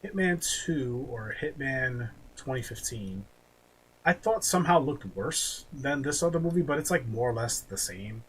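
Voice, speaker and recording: A man hosting a podcast in casual chat, with a halting, offhand way of talking. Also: medium pitch, lively intonation, fairly bright tone. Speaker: podcast host. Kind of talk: casual chat, movie ranking. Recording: clearly noisy background.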